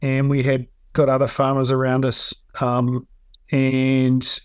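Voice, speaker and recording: A man's voice: medium pitch, monotone, slow, clear, resonant tone, no echo. A man from New Zealand with a New Zealand accent, a dairy farmer answering interview questions in an easygoing way full of um and yeah.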